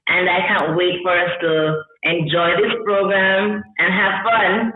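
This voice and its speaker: A woman's voice: on the low side, flat delivery, measured pace. A woman from Kingston, joining the podcast remotely, friendly and cheerful. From Kingston, Jamaica. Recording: tinny phone-like remote stream, roomy.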